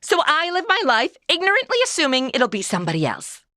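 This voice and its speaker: A woman plays a snarky modern city woman who cracks wise in the Old West. Her high, bright voice leaps all over the place, close and dry. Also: quick pace, no echo, exaggerated voice. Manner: snarky deadpan quips. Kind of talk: comedy audio drama acting.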